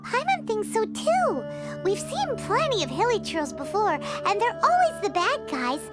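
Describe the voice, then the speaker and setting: A woman's voice: very high-pitched, huge pitch swings, unhurried, over loud music, heavily acted. A woman voicing a tiny, chirpy female fairy companion who talks about herself in the third person. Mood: curious. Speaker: companion character.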